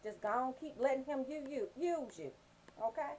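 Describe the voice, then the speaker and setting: A high-voiced woman hosts a casual radio show. She banters informally and comedically, with a sassy, joking streak and plenty of salty language. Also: expressive, moderate pace, dark tone.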